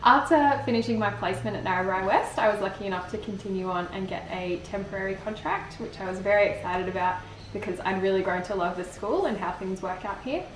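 A woman's voice: mid-range voice, fast, slight background noise. A young Australian woman, a support teacher, talking cheerfully to camera with a few hesitations. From Port Macquarie, Australia. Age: young adult. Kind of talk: casual to-camera interview. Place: classroom with posters. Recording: echoey classroom.

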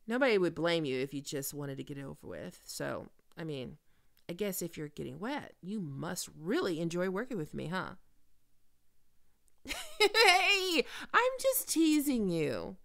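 A woman's voice: on the low side, big pitch swings, a little slow, vocal fry, a bit bright, full character voice. A woman playing a famous, cocky and flirty actress in an intimate, teasing, confiding ASMR roleplay. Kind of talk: intimate ASMR audio roleplay, one-sided conversation.

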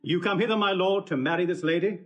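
An actor playing a formal, solemn friar in classical Shakespearean style, in a dull, lo-fi recording. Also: male voice, sits high, fast, lightly acted. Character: solemn friar officiating a wedding. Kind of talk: classical Shakespeare stage acting.

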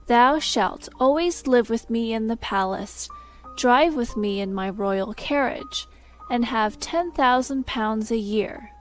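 A woman's voice: fairly high pitch, takes it slowly, faint music behind, rough recording. A woman voicing a scheming shadow who has become a man, giving grand, commanding orders in old-fashioned speech. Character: scheming shadow turned man, smooth and lordly.

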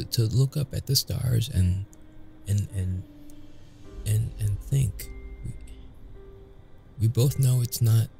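A man's voice: mid-range voice, creaky, warm tone. A man playing a young wounded vampire, secretive and brooding, in an intimate, hesitant audio roleplay style. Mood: awkward, evasive. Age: young adult. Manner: halting, hesitant, stammering when flustered.

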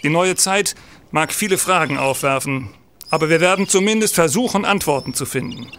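An actor playing a stern, troubled police senator in a radio play, speaking gravely and emphatically. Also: male voice, on the high side, brisk, a bit bright, close to an everyday voice. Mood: angry, commanding. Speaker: actor in an audio drama. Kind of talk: German radio play acting.

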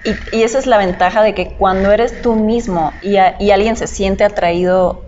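A woman's voice: medium pitch, fairly even intonation, moderate pace, a little mellow, muffled recording, slight background noise. A young woman from Cuba talking casually in a podcast interview, explaining her thoughts in a reflective way. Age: young adult. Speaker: podcast guest, model. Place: indoor podcast set at a table.

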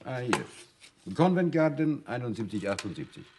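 An actor playing a polite, self-assured and insistent suspect in a vintage radio play, with a husky voice. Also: male voice, medium pitch, very animated, normal speed, a little mellow, slight background noise, close to an everyday voice. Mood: obliging. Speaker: actor in a detective radio drama.

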